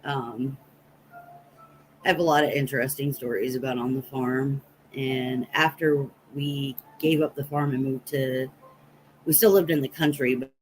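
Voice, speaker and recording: A woman's voice: a bass voice, steady tone, unhurried, a little mellow, a little hiss or hum, rough recording. An American woman telling rambling personal stories casually to livestream viewers, as the host of the stream. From Nebraska, United States. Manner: rambling, anecdotal, chatting with viewers. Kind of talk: casual storytelling chat on a livestream.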